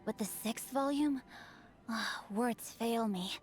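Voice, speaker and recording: A refined, clever teenage boy swordsman speaks in a composed, formal, eloquent manner. His voice sits a little high. Faint game music plays beneath. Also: slow.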